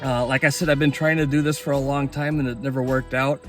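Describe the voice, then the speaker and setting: A man's voice: mid-range voice, level tone, fast, fairly bright tone, soft background music. A man talking casually and enthusiastically in an informal vlog as an amateur radio operator.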